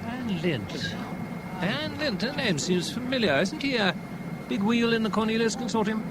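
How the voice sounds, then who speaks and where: An affable, worldly British airline man in a seventies radio drama. His voice sits high and swings freely as he chats in a breezy, joking way. There is clear noise behind him on the old broadcast. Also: expressive, normal speed. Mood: wry, helpful. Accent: British English. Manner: breezy, jocular, colloquial. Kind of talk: 1970s BBC radio drama acting.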